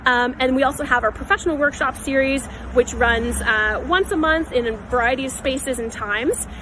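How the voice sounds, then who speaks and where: A woman makes announcements as a theatre coordinator. Her voice sits fairly high, and a faint urban noise with some traffic runs behind it. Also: moderate pace. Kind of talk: announcement to online audience.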